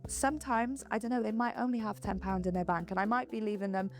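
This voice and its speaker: A woman's voice: mid-range voice, fairly even intonation, quick pace. Young Welsh woman, matter-of-fact interview tone, mid-range voice, faint background music. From Wales. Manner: blunt, matter-of-fact, unapologetic. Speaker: streamer interviewed in a documentary clip. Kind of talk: candid documentary interview.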